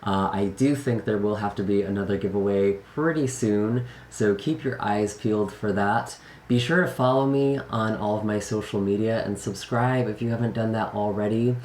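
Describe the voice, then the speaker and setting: A man with a slightly raspy, lowish voice talks to his viewers in an earnest, unhurried way, pausing on fillers as he goes. He is getting over an illness. The sound is echoey, with faint room hiss behind it. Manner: gentle, earnest, many fillers. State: recovering from illness. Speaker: tarot reader. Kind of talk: casual channel intro.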